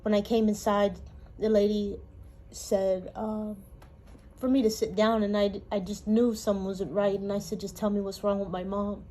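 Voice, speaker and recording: A woman from Hawaii, telling her life story in a halting, emotional way with pauses. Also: mid-range voice, level tone, warm tone, slight background noise, rough recording. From Honolulu, Hawaii. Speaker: interviewee. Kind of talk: personal testimony.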